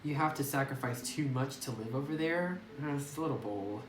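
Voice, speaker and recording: A man's voice: medium pitch, lively intonation, fairly quick. A man giving casual, reflective commentary as he plays a game, thinking aloud and recorded on a phone microphone. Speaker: video game player and narrator. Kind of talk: casual playthrough commentary, reading dialogue aloud. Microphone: phone, some distance away. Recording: roomy, noisy distant phone microphone.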